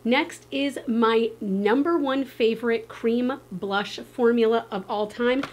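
Bubbly, chatty woman, slightly hoarse. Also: fairly high pitch, takes it slowly, noisy background. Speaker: beauty vlogger. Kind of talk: casual vlog with sponsor read. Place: backyard outdoors, then indoor room.

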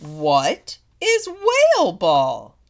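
Woman as a huge, slow, lonely whale. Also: huge pitch swings, exaggerated voice. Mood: puzzled. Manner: drawn-out, booming, plaintive. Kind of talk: storybook character voice.

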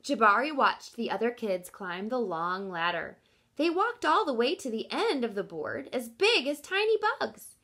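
A woman's voice: fairly high pitch, measured pace. A woman in her thirties reading a picture book aloud as a teacher, expressively, stopping to explain and question young listeners directly. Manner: expressive, instructive, addressing children directly.

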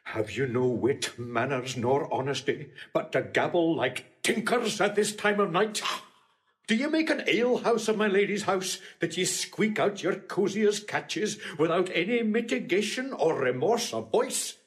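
An actor playing a pompous, puritanical household steward in a Shakespearean audio drama, scolding with haughty emphasis. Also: male voice, high-pitched, expressive, unhurried. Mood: indignant.

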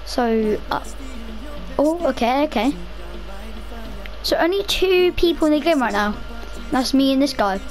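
A young woman chatting casually over her own gameplay, rambling to herself, her voice a little rough from a cough. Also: high voice, animated, a little slow, pauses often, faint music behind. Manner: chatty, rambling, self-talking. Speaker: gaming video creator.

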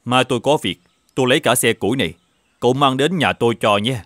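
A man voicing a kindly, generous older villager in an animated fairy tale, with an easygoing, familiar manner. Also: mid-range voice, fast talker, dry recording, close to an everyday voice. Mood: generous, friendly. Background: background music.

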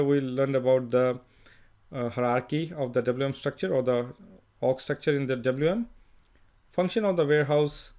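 Man explaining software step by step. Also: medium pitch. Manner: plain step-by-step explaining with fillers and self-corrections. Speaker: software trainer. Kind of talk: screen-recorded software tutorial.

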